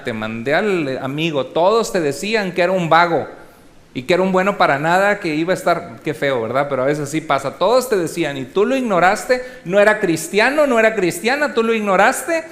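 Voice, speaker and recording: Male pastor, fairly high voice, emphatic sermon delivery with rhetorical questions and hushed asides. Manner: swings between loud exhortation and soft asides.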